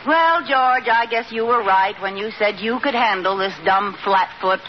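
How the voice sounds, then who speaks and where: An actress playing a dramatic, nervous, wisecracking wife in an old radio comedy, with a theatrical, sarcastic delivery. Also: female voice, mid-range voice, moderate pace, natural voice. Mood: melodramatic, mischievous. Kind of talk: 1940s-50s radio comedy acting. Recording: dull noisy old radio recording with audience.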